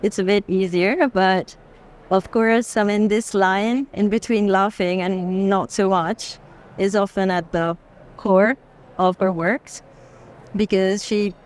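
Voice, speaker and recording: A woman's voice: medium pitch, fairly even intonation, slow pace, darkish tone. Woman thoughtfully explaining art on a walk. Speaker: art curator guiding a walk through a fair. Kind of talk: unscripted walking conversation about art. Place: busy art fair hall, walking.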